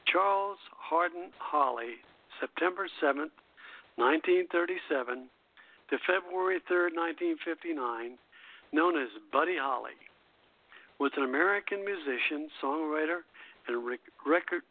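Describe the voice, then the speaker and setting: A man hosting a radio show, reading prepared history notes aloud in a halting way and stumbling over words. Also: fairly high pitch, dry recording. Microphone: phone call-in line. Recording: tinny phone line.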